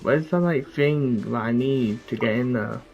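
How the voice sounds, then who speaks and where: A man's voice: medium pitch, unhurried, darkish tone, lo-fi sound. Rambling male game streamer, casual. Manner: rambling, trails off mid-thought. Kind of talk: casual gameplay commentary. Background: game music.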